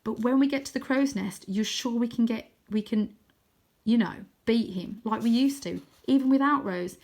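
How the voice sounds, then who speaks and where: An anxious young boy on a storybook adventure , voiced by a woman. He sounds cautious and earnest, hesitating as he speaks, in a slightly raised pitch. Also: moderate pace, darkish tone. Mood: worried, hesitant.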